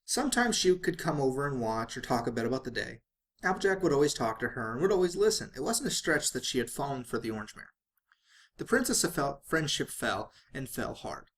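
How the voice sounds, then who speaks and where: A man's voice: medium pitch, sing-song, a little fast, fairly bright tone. A man reading fan fiction aloud as a dramatic narrator, sometimes stumbling and rereading phrases.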